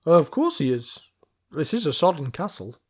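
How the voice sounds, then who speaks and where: A man's voice: a little high, sing-song, moderate pace, slightly rough, voice pushed up. A man voicing a grumpy, sarcastic male castle guard who bellows down bluntly from the battlements. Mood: gruff shouting.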